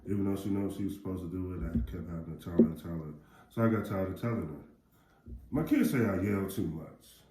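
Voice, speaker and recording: A middle-aged American man with a deep voice, chatting casually in a rambling, plain-spoken way as a parent giving advice. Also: a little fast, dark tone. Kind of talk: casual chat. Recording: roomy echo, faint background noise.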